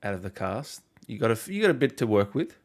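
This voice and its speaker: A man's voice: medium pitch, big pitch swings, quick pace. A man hosting a gaming interview in an easygoing way, saying "I reckon" and asking follow-ups. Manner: easygoing, says "I reckon", asks follow-up questions. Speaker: gaming channel host. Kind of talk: casual interview chat.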